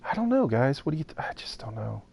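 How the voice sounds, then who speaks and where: A man's voice: medium pitch, sing-song, quick pace, creaky, darkish tone, breathy edge. A man streaming a building game live, thinking aloud and chatting with viewers. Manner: thinks aloud while building, talks to chat. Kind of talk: casual live gameplay chat.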